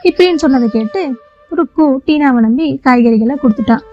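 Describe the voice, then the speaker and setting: Female storyteller, high pitch, scripted kids' tale narration, dull recording over a light music bed. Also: fairly quick, a little mellow.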